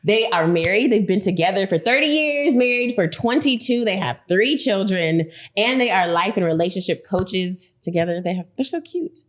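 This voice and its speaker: A woman hosting a casual podcast, bubbly and excitable, laughing often. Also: mid-range voice, normal speed, few pauses. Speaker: podcast host.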